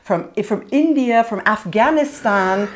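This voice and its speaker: A woman's voice: a little high, lively intonation, measured pace. A woman with a British accent hosting a live online interview over a video call, bubbly and enthusiastic, laughing often. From born in America, British mother. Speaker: show host, horn player. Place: indoors, live video hangout.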